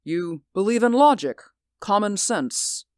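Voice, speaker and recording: An actor in a 1940s radio drama playing a haunted, sleepless man who pleads in an intense, hushed voice. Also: high voice, animated, a little slow, smooth, dry recording, studio quality. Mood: brooding.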